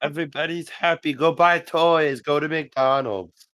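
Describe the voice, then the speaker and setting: A man's voice: fairly high pitch, fairly even intonation, unhurried, fairly bright tone, dry recording. A man making casual, conversational remarks as a podcast commentator. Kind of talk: casual chat.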